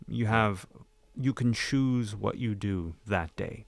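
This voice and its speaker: A man's voice: on the low side, slow, creaky, dry recording. A man hosting an interview podcast, talking in a conversational way. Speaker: podcast host.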